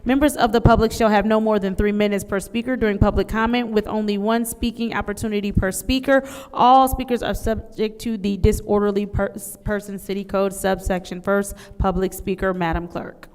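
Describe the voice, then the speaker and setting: Woman, committee chair; smooth, clear voice, measured procedural delivery in a reverberant chamber. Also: medium pitch, steady tone, darkish tone. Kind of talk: formal meeting procedure. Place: city council chambers. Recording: long hall reverb.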